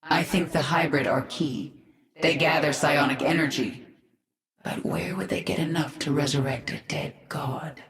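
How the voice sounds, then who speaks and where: A low-voiced, commanding alien swarm queen. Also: measured pace, creaky. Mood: grim, commanding. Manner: terse, intense, commanding. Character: vengeful alien swarm queen, commanding, grim young woman. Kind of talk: dramatic video game cutscene acting. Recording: roomy echo with game music behind.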